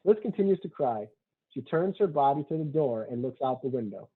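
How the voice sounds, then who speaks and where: A man's voice: mid-range voice, lively intonation, brisk, breathy edge. A man who wrote a screenplay, reading its stage directions and talking as narrator of the table read. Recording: tinny, phone-like call audio.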